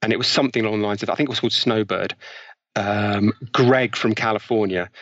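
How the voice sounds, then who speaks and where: A man's voice: on the low side, brisk, no echo. A British man speaking as an interview guest, explaining ideas conversationally with examples. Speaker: interview guest, author on behavioral science. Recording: slightly dull, lo-fi sound.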